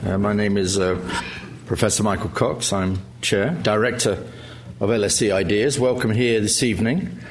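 A man's voice: lowish voice, steady tone, moderate pace. Man, middle-aged, event chair; formal intro, hesitant, wry. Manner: hesitant, frequent uh fillers, wry humor. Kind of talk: formal introduction speech with jokes. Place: lecture hall with audience.

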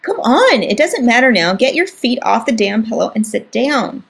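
A woman voicing an irritable, tough teenage girl who talks to her younger brother in a sharp, exasperated way. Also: fairly high pitch, big pitch swings, normal speed, gravelly, slightly rough, voice pushed up, exaggerated voice.

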